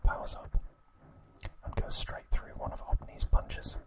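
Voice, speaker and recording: A man hosting an ASMR recap, speaking in a soft, breathy, unvoiced whisper with a gentle delivery. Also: a little slow. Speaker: ASMR host.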